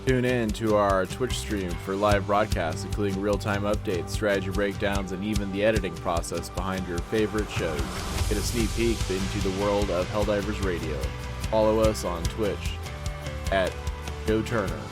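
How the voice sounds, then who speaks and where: A man's voice: fairly low pitch, fairly even intonation, moderate pace, music in the background, close to an everyday voice. A man playing an earnest wartime radio news host, reading scripted announcements in a polished way.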